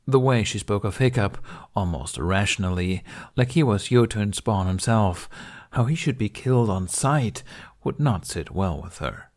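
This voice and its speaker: Low-voiced man narrating an audiobook. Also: animated, normal speed, darkish tone. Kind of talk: scripted narration of a fantasy story.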